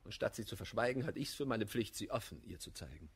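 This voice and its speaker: Husky, wildly emphatic indignant gentleman. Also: fairly low pitch, lively intonation, fast, exaggerated voice. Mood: stern, earnest. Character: proud, stubborn, irritable gentleman lover, blunt truth-teller. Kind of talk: German radio play, classical verse comedy.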